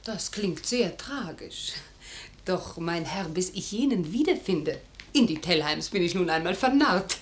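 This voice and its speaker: A woman's voice: lowish voice, expressive, unhurried. An actress playing a young noble lady in a 1950s radio play, joyful, playful and stubborn in love, speaking with theatrical feeling. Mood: teasing, tender. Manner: emotional, theatrical, teasing.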